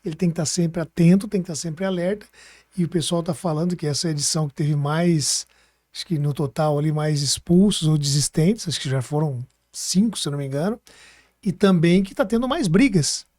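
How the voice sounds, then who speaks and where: A man's voice: fairly high pitch, brisk, darkish tone, no echo. A man with a Brazilian Portuguese accent chatting informally as a podcast host, thinking aloud as he goes. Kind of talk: casual podcast conversation.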